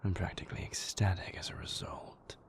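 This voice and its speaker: A man voicing a moody, possessive yet tender vampire lover in an intimate roleplay audio, speaking in formal, measured phrases. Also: whispered, natural voice. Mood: dry, smug.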